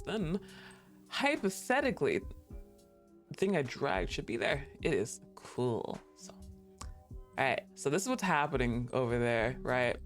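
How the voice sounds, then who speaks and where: A laid-back streamer chatting casually on a livestream, a little tired, rambling and laughing often. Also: mid-range voice.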